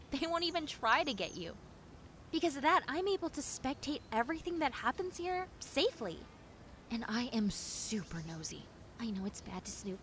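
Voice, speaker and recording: A woman playing a friendly, clumsy young female zombie in a roleplay audio drama, talking in a chatty, expressive, rambling way. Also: high-pitched, a little hiss or hum. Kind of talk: roleplay audio drama, conversational monologue addressed to listener.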